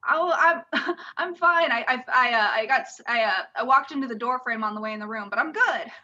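A woman acts out a hapless, movie-obsessed husband with a high, forceful voice. He rambles meekly and puts himself down. It is improvised radio comedy in muffled lo-fi sound. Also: fairly quick. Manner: rambling, meek, self-deprecating. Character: hapless henpecked husband, movie-obsessed, sheepish yet plotting. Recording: dull lo-fi audio.

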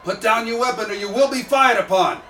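Woman as a sharp, commanding soldier. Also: fairly high pitch, fairly quick, slight background noise, lightly acted. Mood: stern command. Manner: commanding, clipped. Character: stern armed soldier barking commands. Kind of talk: radio drama acting.

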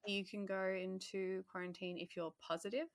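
A woman, a healthcare worker telling her story in a casual, animated interview and acting out her own earlier remarks. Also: medium pitch, moderate pace, no room sound.

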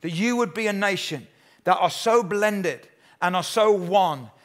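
A man preaching a sermon with a high-pitched voice. He is emphatic and drives his points home by repeating key lines. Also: unhurried, fairly bright tone. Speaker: pastor.

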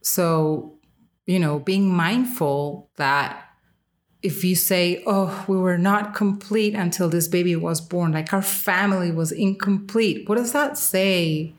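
Female podcast host with a fairly low voice, delivering frank, emphatic opinion commentary in casual speech. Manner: emphatic, candid, with casual swearing.

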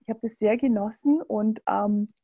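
A woman's voice: mid-range voice, normal speed, slightly rough, narrow, tinny audio. A woman interviewing a guest in a casual talk, appreciative and enthusiastic, with some fillers.

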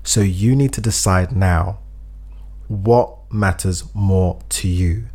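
A man's voice: sits low, slow pace, darkish tone. A man co-hosting a casual chat, giving earnest advice and acting out example speeches, a bit phlegmy. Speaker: podcast co-host giving advice.

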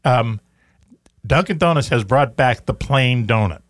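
A man's voice: mid-range voice, expressive, unhurried, dry recording, clean studio sound. A middle-aged man with a Boston accent hosting a radio talk show, rambling and joking with the gang and swearing casually. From Boston area, USA. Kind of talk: casual banter.

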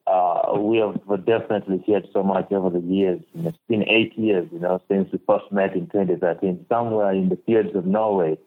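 A man's voice: sits low, steady tone, normal speed, hardly any pauses. A young man from Uganda, a guest on a podcast interview, speaking earnestly and often repeating words as he thinks. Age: young adult. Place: home in Uganda, remote call. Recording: tinny phone-like call audio.

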